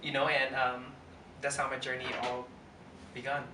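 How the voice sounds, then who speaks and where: A man's voice: medium pitch, monotone, moderate pace. A man in his twenties, from Las Vegas and born in Thailand, answering casually as an interview guest. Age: 20s. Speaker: interview guest, pole performer. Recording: roomy, distant mic, faint background noise.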